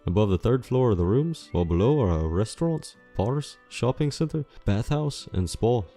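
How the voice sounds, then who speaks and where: A man's voice: medium pitch, animated, moderate pace, warm tone. A man playing a polite, professional catfolk hotel clerk in an audiobook, speaking formally and courteously. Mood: polite, businesslike. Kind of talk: audiobook character dialogue. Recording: music under the voice.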